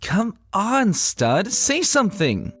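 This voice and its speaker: A man voicing a brash, impatient young female pegasus pony with an exasperated, excitable manner in a fan fiction reading. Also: high-pitched, takes it slowly, soft background music, voice pushed up, heavily acted.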